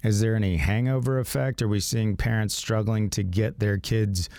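A man hosting a radio call-in health show, his voice raspy from a sinus infection, speaking in a relaxed way and reading phone numbers slowly. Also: lowish voice, dry recording, studio quality. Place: radio studio.

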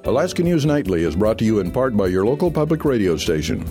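A man's voice: lowish voice, very animated, quick pace. A man reading a scripted radio network promo in a lilting, sing-song announcer style. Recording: promo read over music.

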